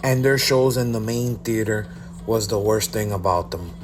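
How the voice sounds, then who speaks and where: A man with a somewhat low voice narrates a review voice-over. He sounds blunt and keeps listing his complaints. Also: moderate pace, faint music behind. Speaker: vlogger reviewing a cruise.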